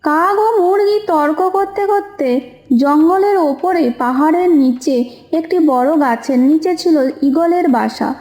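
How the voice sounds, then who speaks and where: Female storyteller for children's cartoon, high mellow voice, scripted delivery, roomy echo. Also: unhurried. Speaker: narrator. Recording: roomy echo, faint music.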